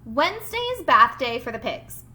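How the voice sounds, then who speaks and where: A woman reading out the notes of a sly, demanding trickster duck in a children's picture book, emphatic and deliberate. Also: high-pitched, big pitch swings, sharp tone, exaggerated voice. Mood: bossy, fussy.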